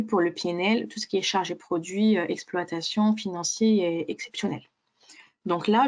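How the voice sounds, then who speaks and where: A woman giving an unscripted webinar demonstration of a software tool, explaining it step by step. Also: fairly low pitch.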